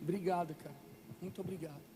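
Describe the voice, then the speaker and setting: High-voiced Brazilian preacher, a man in a hall. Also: steady tone. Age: 50s. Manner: emphatic, declamatory, with deliberate pauses. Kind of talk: sermon with emphatic scripture reading and call-and-response. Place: large reverberant room, live preaching event. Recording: long hall reverb, music underneath.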